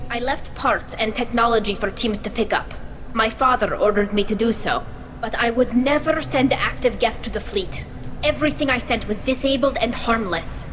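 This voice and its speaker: Woman voicing earnest young alien, higher-pitched, noisy backdrop. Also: moderate pace, a bit bright, close to an everyday voice. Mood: defensive. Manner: earnest, emotional. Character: young female alien crew member, earnest and proud. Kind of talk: video game voice acting.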